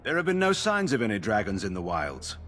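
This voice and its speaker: An actor playing a grim, distrustful older general in a video game, speaking in a stern, scornful manner. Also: male voice, lowish voice, huge pitch swings, a little fast, a bit bright, heavily acted.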